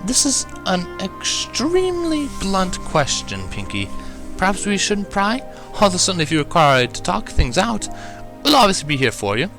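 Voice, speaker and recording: A man acts out a ladylike cartoon mare, raised high in pitch. The delivery is proper, measured and caring, with expressive lifts over background music. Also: exaggerated voice. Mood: thoughtful, curious. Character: refined, caring, ladylike female cartoon unicorn.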